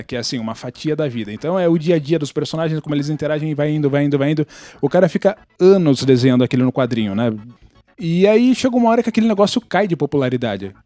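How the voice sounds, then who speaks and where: A man's voice: fairly high pitch, warm tone, dry recording. A Brazilian man in casual podcast talk, explaining things in an opinionated way. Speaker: podcast participant.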